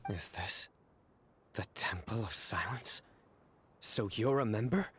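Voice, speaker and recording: A man's voice: on the low side, expressive, takes it slowly, a bit bright, slight background noise. An actor playing a stern, suspicious and impatient young lawman in a video game, terse and serious. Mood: wary, inquisitive.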